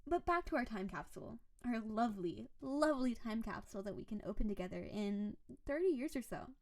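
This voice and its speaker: A woman's voice: a little high, lively intonation, a little fast. A woman playing a doting, obsessive, possessive snow-woman spirit wife in an intimate romantic roleplay audio drama, gushing and cooing over the listener with dramatic emphasis. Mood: sweet, playful.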